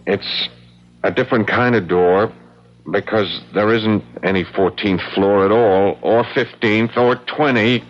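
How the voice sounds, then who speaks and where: An actor playing a harried, protective husband facing danger, earnest and hesitant, in a dramatic 1970s radio acting style. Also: male voice, on the low side, steady tone, unhurried, lightly acted. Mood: strained, protective. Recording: dull lo-fi vintage radio broadcast.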